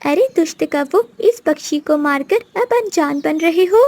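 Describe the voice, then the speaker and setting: Woman acting a high-voiced vengeful little cartoon bird. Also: expressive, unhurried, a little mellow, exaggerated voice. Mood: accusing, alarmed. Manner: accusing, dramatic. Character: vengeful, scheming female bird plotting against wicked crows. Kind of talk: Hindi moral-story cartoon acting.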